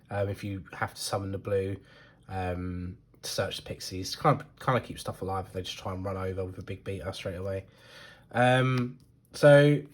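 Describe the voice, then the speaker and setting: A man talking casually about his card deck, hesitant and full of ums, sounding stuffy from a cold. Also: medium pitch. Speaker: card game deck reviewer. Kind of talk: casual talk to camera.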